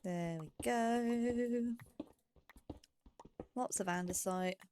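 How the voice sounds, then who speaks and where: A woman's voice: fairly high pitch, drawn-out words, a little mellow, a little hiss or hum. Chatty woman doing casual gaming commentary. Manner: chatty, self-deprecating, musing aloud. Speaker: gaming YouTuber, host of a let's play series. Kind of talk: casual let's play commentary.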